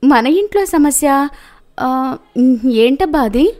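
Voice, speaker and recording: A woman voicing a landlord's concerned, devoted wife in an animated moral story. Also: high voice, slow pace, pitched above the performer's own voice. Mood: puzzled.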